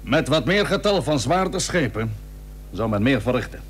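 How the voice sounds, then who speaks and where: A man's voice: mid-range voice, big pitch swings, natural voice. Man playing proud old admiral, noisy recording. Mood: measured, sceptical. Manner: formal, measured, firm. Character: Dutch admiral, old, plain-spoken, proud and dutiful. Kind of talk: historical radio drama acting.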